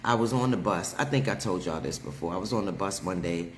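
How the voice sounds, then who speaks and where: A man hosting a live stream, telling everyday stories in a casual, animated way with frequent laughter. He has a deep, heavy voice. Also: a little fast, roomy sound, a little hiss or hum. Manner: animated, laughs often, talks straight to viewers. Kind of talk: casual storytelling chat.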